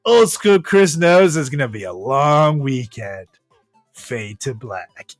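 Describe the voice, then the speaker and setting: A man co-hosting a comedy podcast, giggly and halting as he banters and reads a comic story. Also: medium pitch, big pitch swings, no room sound, faint music behind. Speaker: podcast co-host.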